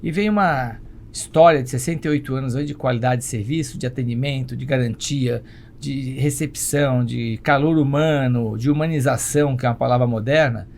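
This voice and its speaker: A man's voice: medium pitch, a little fast, darkish tone. An older Brazilian man telling stories conversationally as a guest in an interview. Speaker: podcast guest, travel company executive. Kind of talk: conversational storytelling. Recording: clear background noise and ambience.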